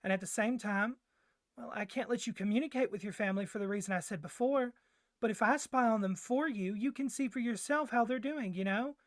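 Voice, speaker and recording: A woman's voice: fairly high pitch, a little fast, no echo, natural voice. Woman playing a clingy, doting yandere boyfriend, close and dry. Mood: insistent, adoring. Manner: gushing, coaxing, rambling with flustered hesitations. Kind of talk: soft ASMR boyfriend roleplay.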